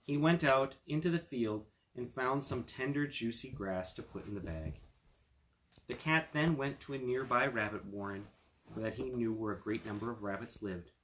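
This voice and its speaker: A man's voice: medium pitch, moderate pace, a bit bright, slight background noise, poor audio. A man reading a fairy tale aloud as a storyteller, with casual asides to his listeners. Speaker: storyteller, attorney.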